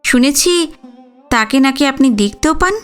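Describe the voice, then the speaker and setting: An actress playing an educated young daughter-in-law in an audio story, respectful but probing, with a polite, pointed, articulate delivery. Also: female voice, high-pitched, lively intonation, a little slow, faint music behind. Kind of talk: Bengali audio story acting.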